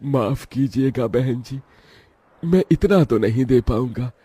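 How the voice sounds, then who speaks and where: A man's voice: mid-range voice, a little slow, dark tone, breathy edge, no echo, pitched above the performer's own voice. A man voicing a poor, humble old village father in a cartoon story, earnest and pleading in manner. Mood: worried, resigned.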